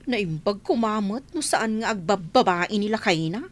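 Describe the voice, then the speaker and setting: A woman's voice: medium pitch, lively intonation, moderate pace, close to an everyday voice. A woman playing a nosy, sneering village gossip in a comedy radio drama, with an Ilocano accent and a scornful, confrontational delivery. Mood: scornful, indignant.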